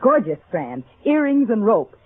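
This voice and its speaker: A woman playing a hopeful daughter in a 1940s radio commercial, with a husky voice and tinny sound. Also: fairly high pitch, very animated, measured pace, exaggerated voice. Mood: eager. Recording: tinny vintage radio recording.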